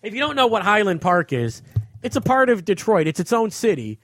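A man's voice: high voice, very animated, fairly quick, a bit bright, no room sound, clean studio sound. An American man hosting a podcast, chatting casually and telling stories in an informal, rambling, sweary way. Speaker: podcast host and touring comedian.

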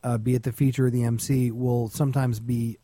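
A man chatting casually as a talk show host, telling anecdotes in an animated way and acting out the dialogue. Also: medium pitch, a little fast, no echo. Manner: animated retelling with acted-out dialogue. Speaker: talk show host and stand-up comedy club insider. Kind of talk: casual storytelling chat.

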